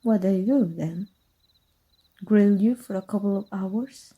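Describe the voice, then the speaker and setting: A woman playing a sly, dark-voiced former magic teacher. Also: medium pitch, takes it slowly, breathy edge, muffled recording. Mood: sly teasing. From Mexico. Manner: soft, half-whispered, teasing. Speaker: voice actor. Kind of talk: ASMR roleplay.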